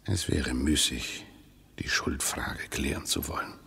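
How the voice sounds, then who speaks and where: A low, husky male voice with a creaky edge. He is a bitterly ironic husband in a radio drama, resigned and formal, needling his wife in measured, dry phrases. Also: bright, forceful tone, in a whisper, heavily acted. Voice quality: husky, gravelly. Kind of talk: radio play acting.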